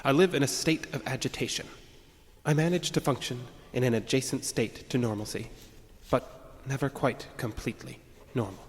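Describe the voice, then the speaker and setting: An actor playing an agitated, arrogant man with violent urges, narrating his journal in a deliberate, formal way for an audio drama. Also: medium pitch, natural voice. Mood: cold, self-important. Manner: pompous, deliberate, brooding, formal phrasing. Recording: long reverb, music underneath.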